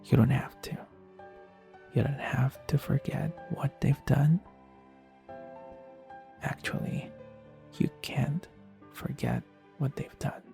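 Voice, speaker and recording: Gentle, consoling male best friend, acted by a man for intimate audio roleplay: mellow, creaky, soft and earnest, music underneath. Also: medium pitch, fairly even intonation. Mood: calm, consoling. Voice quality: soft, breathy, husky. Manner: soothing, earnest, unhurried, with long pauses. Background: soft instrumental music.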